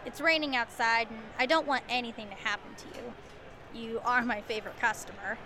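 A woman's voice: fairly high pitch, fairly even intonation, normal speed, sharp tone, a little hiss or hum. A woman playing a caring, polite diner waitress in an old-time style radio drama. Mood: concerned, kindly. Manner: polite, solicitous. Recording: music playing over the voice.

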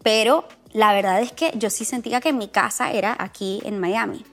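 A young woman from Venezuela hosting a podcast, talking with warm enthusiasm and mixing Spanish and English. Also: mid-range voice, moderate pace, faint music behind. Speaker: podcast host. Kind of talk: casual bilingual interview chat.

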